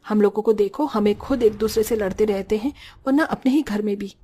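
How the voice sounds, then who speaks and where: A woman's voice: mid-range voice, a little fast, dark tone, slightly rough, close to an everyday voice. A woman voicing a bossy, gossipy but caring older mother-in-law in a Hindi cartoon story. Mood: musing, gossipy.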